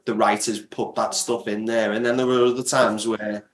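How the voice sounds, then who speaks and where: A man's voice: fairly low pitch, little pitch movement, moderate pace. Man in an echoey room. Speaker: audio drama maker, podcast guest. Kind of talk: casual interview discussion. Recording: roomy, echoing room sound.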